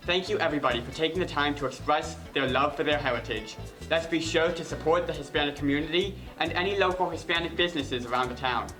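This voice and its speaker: A teenage boy presenting a segment on a school news show, reading a steady script. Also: fairly high pitch, fast talker, faint music behind. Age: teens.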